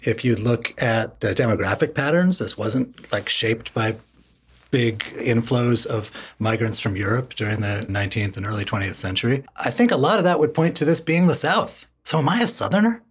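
Dc-raised guy cohosting, husky voice, dry jokes and lots of questions. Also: mid-range voice, lively intonation, normal speed. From Washington, D.C. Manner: wry, questioning, laughs easily. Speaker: podcast co-host. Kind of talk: casual chat.

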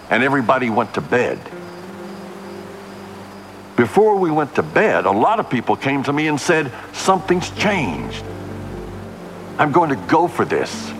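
Deep-voiced authoritative man, lively delivery. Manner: authoritative, declaiming. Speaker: group founder and leader. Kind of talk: archival recorded talk.

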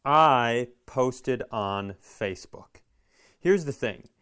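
A man's voice: medium pitch, lively intonation, very slow, bright, forceful tone, smooth. A man co-hosting a comedy podcast, talking in casual banter and profane, exasperated rants. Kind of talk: casual comic banter and ranting.

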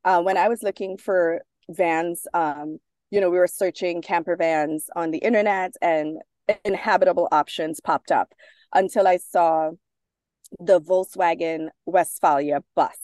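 A woman from Trinidad, a guest author speaking in a relaxed interview, thoughtful and sprinkled with fillers and restarts. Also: fairly low pitch, a little slow, no room sound. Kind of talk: casual interview talk.

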